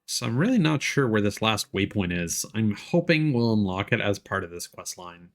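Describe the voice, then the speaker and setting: A man's voice: on the low side, sing-song, moderate pace. A man chatting casually as he streams a video game. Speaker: livestreamer playing a video game. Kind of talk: casual livestream chat over gameplay.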